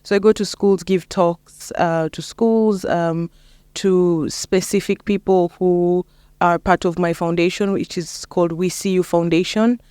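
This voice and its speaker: A woman's voice: on the low side, fairly even intonation, measured pace, mellow, clean tone, dry recording, studio quality. A young woman with a Kenyan accent, chatting casually as an interview guest. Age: young adult. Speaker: interview guest, lawyer and mentor.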